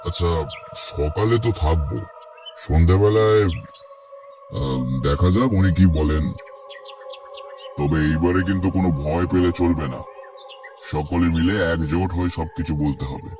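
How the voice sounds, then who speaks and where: A man's voice: low-pitched, normal speed, pauses often, darkish tone, recorded from a distance, slight background noise, natural voice. A man playing a humble, struggling village vegetable seller in a cartoon, deferential and plaintive. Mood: resolute.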